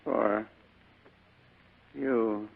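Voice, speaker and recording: Gravelly, gasping, dying sea captain. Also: male voice, lowish voice, fairly even intonation, heavy background noise, full character voice. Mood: dying, desperate. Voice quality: gravelly, halting. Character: dying sea captain, weakly delivering a package. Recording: tinny vintage radio broadcast.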